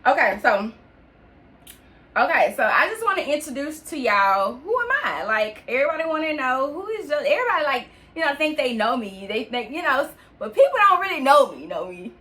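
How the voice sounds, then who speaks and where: Podcast host, late-twenties woman, fairly high bright voice, chatty and direct with listeners. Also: big pitch swings, fairly quick. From New York. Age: 20s. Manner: chatty, addresses listeners as y'all and sis. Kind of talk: personal storytelling podcast.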